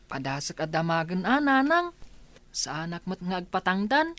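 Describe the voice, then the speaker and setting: A woman's voice: fairly low pitch, big pitch swings, normal speed, fairly bright tone. A woman playing a young village schoolboy in a radio comedy-drama, an earnest, respectful and questioning son.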